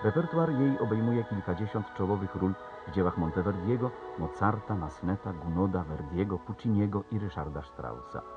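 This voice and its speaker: A man's voice: sits low, animated, normal speed, hardly any pauses, phone-quality sound. A man presenting a TV program, reading formal scripted narration that steadily lists names and places. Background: music.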